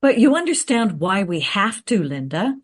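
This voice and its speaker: An actress playing a cautious, sensible time traveller in conversational radio play dialogue. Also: female voice, medium pitch, sing-song, normal speed, slightly rough. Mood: reasoning, critical.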